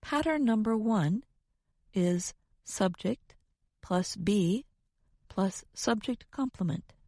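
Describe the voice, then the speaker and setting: A woman's voice: medium pitch, lively intonation, takes it slowly, dark tone, no echo, clean studio sound. A woman co-hosting an educational podcast, reading a scripted lesson in a careful, instructional manner. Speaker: co-host of an English lesson podcast.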